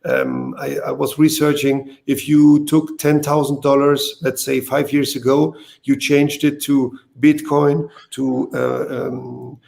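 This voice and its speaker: German-accented male guest, grainy voice, non-native speaker, halting and repetitive. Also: mid-range voice, lively intonation, gravelly, darkish tone. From East Germany. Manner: hesitant, repeats words, non-native phrasing. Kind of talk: casual interview talk. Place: video call.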